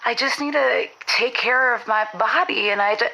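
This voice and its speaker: A woman playing a frazzled, defiant wronged wife calling a radio show in a comedy audio drama, rambling breathlessly and excitably. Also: a little high, moderate pace, creaky, low-quality recording. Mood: giddy, worn out.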